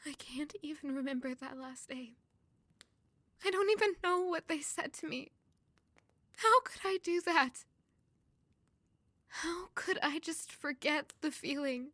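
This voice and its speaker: A woman's voice: high voice, a little slow, long pauses, pushed and bright, no room sound. An actress playing a fragile young servant woman, grieving and full of self-doubt, in an emotional audio drama. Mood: distraught.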